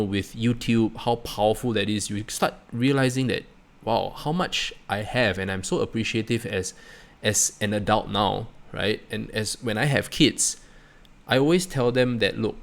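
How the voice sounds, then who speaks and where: A middle-aged Singaporean-accented man speaks in a lowish voice with plenty of fry. He reflects casually as a channel host, stumbling over repeated words and tagging his phrases with small check-in questions. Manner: halting, repeats words, often tags phrases with "right?" and "you know". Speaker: host of a personal finance channel.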